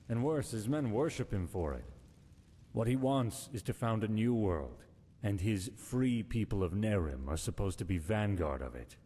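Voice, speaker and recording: A man's voice: dark tone, natural voice. An actor playing a cynical, sardonic man of a holy order in a fantasy game, dry and articulate. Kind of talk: cinematic fantasy game voice acting. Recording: reverberant hall, faint rumbling noise.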